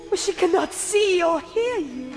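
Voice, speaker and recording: A ghostly spirit, acted by a woman with a very high voice and slow, measured delivery. The spirit is knowing and gently pointed, in radio drama style with music underneath. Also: expressive. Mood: gentle, knowing. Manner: measured, storytelling.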